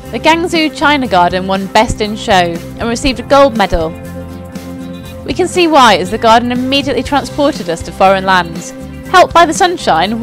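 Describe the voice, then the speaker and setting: An upbeat young woman reads a scripted narration with enthusiasm, her voice sitting a little high. Clear music plays underneath her throughout. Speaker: company presenter. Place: outdoors at a flower show.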